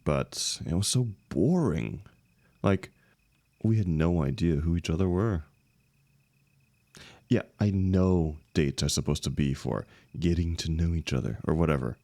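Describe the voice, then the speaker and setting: Very deep, gravelly young heartbreaker, acted by a man. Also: darkish tone, dry recording, studio quality, full character voice. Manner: casual, halting, emotional, swinging emphasis. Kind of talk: intimate one-sided audio roleplay.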